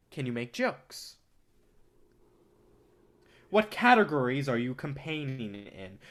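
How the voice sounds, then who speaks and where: An actor in a staged reading playing a domineering, cynical film distributor with a condescending, lecturing manner. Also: male voice, medium pitch, lightly acted. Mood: scornful, pressing. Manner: condescending, rapid-fire lecturing.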